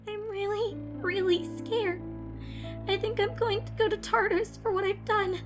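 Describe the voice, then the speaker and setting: A woman voicing a guilt-ridden, paranoid young pony student, reading her confessional letters in an anguished, highly emotional way as she unravels. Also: fairly even intonation, normal speed, soft background music. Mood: frightened. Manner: anguished, highly emotional, swinging from hushed to screaming.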